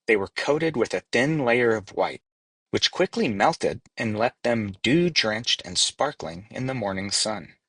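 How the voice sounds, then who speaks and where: A man's voice: fairly low pitch, lively intonation, unhurried, fairly bright tone, slightly rough, no echo. A man narrating a storybook as a scripted read-aloud. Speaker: storybook reader.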